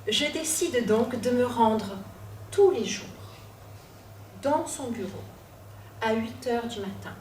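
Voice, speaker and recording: Slow-telling young woman in an echoey hall. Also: fairly high pitch, a little mellow, a little hiss or hum. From Corsica. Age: 20s. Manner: slow, dramatic pauses, self-mocking asides. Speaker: student storyteller. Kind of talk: personal storytelling talk. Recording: echoey room, from a distance.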